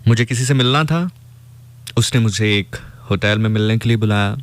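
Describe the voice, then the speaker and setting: A man's voice: fairly low pitch, normal speed. A man with a Pakistani accent, a radio host telling a story conversationally and reflectively, dropping in English phrases.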